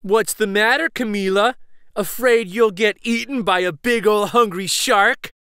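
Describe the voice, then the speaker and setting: Man acting bratty young boy, storybook bully, taunting, raised pitch, bright. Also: measured pace, smooth, dry recording, studio quality, heavily acted. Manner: taunting, exaggerated. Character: mean, teasing young schoolboy in a storybook.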